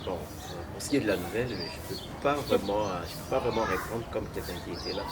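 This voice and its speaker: A young man from DR Congo, a student, answering interview questions in a measured way with frequent fillers. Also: lowish voice. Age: young adult. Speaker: guest, student. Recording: loud noise, birds cooing.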